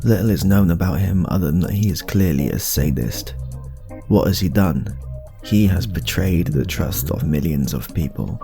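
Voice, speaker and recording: A man narrates with mock seriousness, low and creaky, over music. His delivery is solemn and deliberate, like a dramatic documentary made for ASMR. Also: expressive, dark tone. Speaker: narrator, ASMR video creator.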